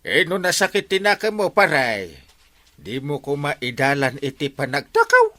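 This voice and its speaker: A man's voice: fairly high pitch, huge pitch swings, moderate pace, sharp tone, full character voice. An actor playing an indignant village watchman in an Ilocano radio comedy, scolding and accusing with exclamations in an Ilocano accent. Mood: admonishing.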